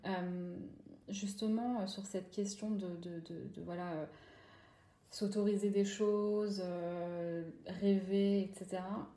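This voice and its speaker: A woman's voice: medium pitch, steady tone, takes it slowly, dark tone. A woman hosting an interview, asking long questions full of fillers and restarts. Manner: hesitant, many restarts and fillers. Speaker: podcast host. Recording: echoey room.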